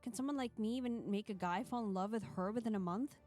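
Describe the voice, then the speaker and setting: A woman's voice: medium pitch, fast talker, over loud music, natural voice. A woman voicing an emotional teenage girl, a reborn saintess, dramatically reading first-person visual novel narration. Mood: anguished.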